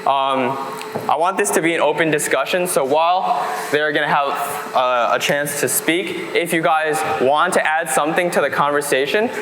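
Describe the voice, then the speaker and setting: A man moderating a panel discussion at an event, relaxed, with frequent fillers. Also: a little high, normal speed, crowd noise. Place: large hall at a sports event. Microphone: handheld mic. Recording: echoey hall, live audience noise.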